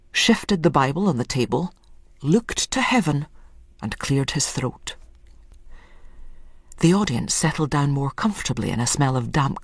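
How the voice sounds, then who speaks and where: Husky, expressive story narrator. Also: medium pitch, no room sound, studio quality. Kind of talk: scripted literary narration.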